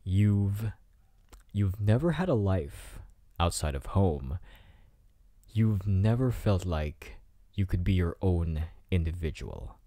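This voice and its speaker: Low, slow-talking cocky bad boy, acted by a man. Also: expressive, warm tone, dry recording, full character voice. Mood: smug, probing. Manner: teasing, smug, drawn-out delivery. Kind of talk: intimate ASMR roleplay acting. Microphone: close microphone in a dry space.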